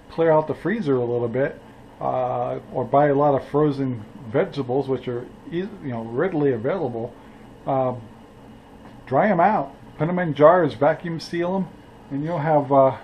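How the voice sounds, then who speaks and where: Older man, folksy rambling talk, cooking vlog host, dull lo-fi camera audio, clear background noise. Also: mid-range voice, moderate pace. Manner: folksy, rambling, many "uh"s, chuckles. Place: outdoor yard beside a grill, and indoors in a cluttered room.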